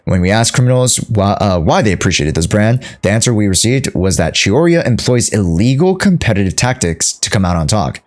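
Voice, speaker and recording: A man's voice: lowish voice, lively intonation, fairly quick, few pauses. A man streaming a game playthrough, casually reading text aloud and commenting on it as he goes. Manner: casual, reactive, echoes lines.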